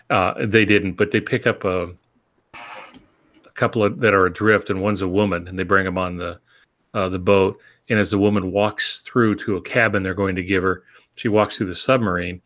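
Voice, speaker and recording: A man co-hosting a podcast, explaining by example in a casual, halting, measured way. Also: low voice, steady tone, a bit bright. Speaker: podcast co-host.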